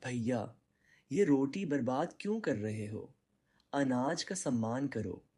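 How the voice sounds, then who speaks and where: A man's voice: mid-range voice, expressive, takes it slowly, darkish tone, breathy edge, no room sound, clean studio sound. A man playing a poor, hungry orphan boy in a cartoon story, meek and earnest. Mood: meek pleading. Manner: meek, pleading.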